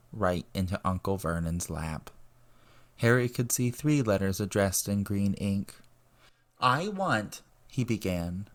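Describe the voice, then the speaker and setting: A man reading a story aloud as narrator, in scripted audiobook style. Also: lowish voice. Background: music and ambience.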